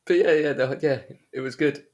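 A man's voice: medium pitch, steady tone, a little fast. A man from Derbyshire with an East Midlands English accent, chatting informally as a podcast host about a book. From Derbyshire, England. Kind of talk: casual book discussion chat.

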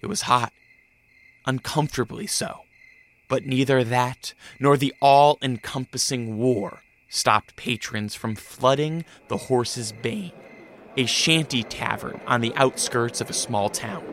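A man narrating a radio drama from a script in a measured storytelling manner. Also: mid-range voice, fairly bright tone.

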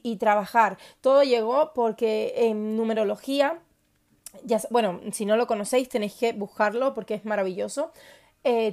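A woman from Cuba hosting her own podcast, telling her personal story in a confiding, enthusiastic way with many pauses and fillers. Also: a little high, darkish tone. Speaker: podcast host.

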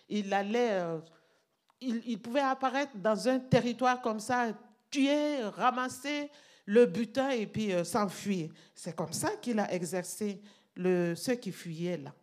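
A woman pastor preaching a sermon in deliberate teaching fashion, stopping to check that her listeners follow, speaking a language that is not her first. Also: mid-range voice, a little mellow. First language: not French.

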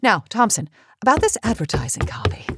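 A woman's voice: mid-range voice, sing-song, fairly quick, background noise, lightly acted. Lady acting bossy desperate exec woman, campy audio drama, super dramatic, voice goes up and down a lot. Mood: clipped, guarded. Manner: commanding, melodramatic. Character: imperious, desperate woman cosmetics executive obsessed with youth. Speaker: voice actor.

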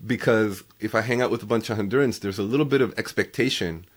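A man's voice: on the low side, quick pace. A man hosting a podcast, chatting casually and telling stories in an upbeat way. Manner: upbeat storyteller. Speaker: podcast host. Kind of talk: casual conversation.

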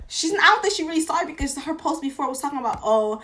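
A woman's voice: sits high, very fast, sharp tone. A woman in a casual, opinionated chat, talking emphatically and conversationally with plenty of slang. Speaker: co-host or panelist.

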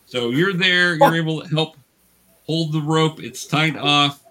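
A man's voice: a little high, bright, forceful tone. A man patiently guiding a tabletop role-playing game as game master, encouraging players and often restarting his phrases. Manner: encouraging, patient, halting with self-repeats.

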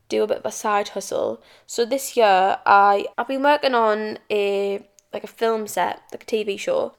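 A young British woman hosting her own podcast, chatting casually and rambling with restarts and stumbles. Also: mid-range voice. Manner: chatty, rambling, self-described stuttering and babbling. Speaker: podcast host. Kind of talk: casual solo podcast chat.

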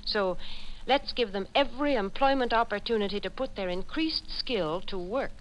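A woman announcer giving a friendly, folksy sponsor message in 1940s radio style. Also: moderate pace. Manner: friendly, folksy sales pitch. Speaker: commercial announcer. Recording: noisy 1940s radio recording.

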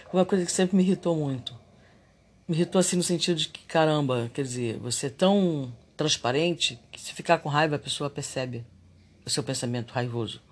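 A man's voice: fairly high pitch, breathy edge. A man giving a reflective, confessional monologue on spirituality and daily life. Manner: reflective and confessional, animated when irritated.

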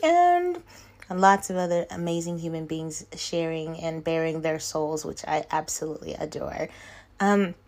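A woman's voice: fairly low pitch, darkish tone. Upbeat, informal woman hosting a podcast. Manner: informal, enthusiastic, frequent y'all. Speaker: podcast host. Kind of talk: casual podcast chat.

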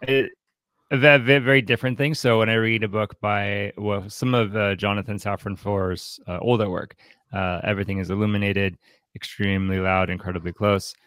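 A man with a fairly low-pitched voice talks casually about books as a podcast co-host. He circles his thoughts haltingly, often doubling back on words. The sound is very dry, with no room around it. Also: fairly even intonation, a bit bright, no room sound. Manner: halting, thinking aloud, repeats words. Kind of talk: casual literary discussion.

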